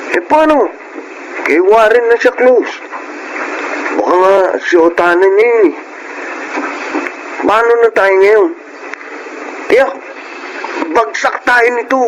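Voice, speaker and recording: A man's voice: sits high, slow, pauses often, a little hiss or hum, low-quality recording. An actor playing a complaining young male slacker in a Filipino radio drama. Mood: worried.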